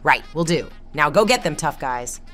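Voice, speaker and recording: A woman playing a confident adult monster fighter who mentors kids with calm command in a cartoon comic dub. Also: low voice, moderate pace, soft background music.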